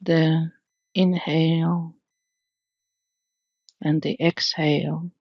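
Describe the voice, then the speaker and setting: A woman leading an unscripted guided meditation in a calm, soothing manner, leaving long pauses between phrases. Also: sits low, no room sound, lo-fi sound.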